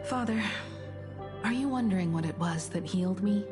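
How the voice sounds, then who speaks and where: An actress playing a calm, resolute grown daughter in a video game, speaking in a measured, thoughtful way. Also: female voice, medium pitch, music in the background, close to an everyday voice. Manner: measured, solemn. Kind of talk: video game character acting.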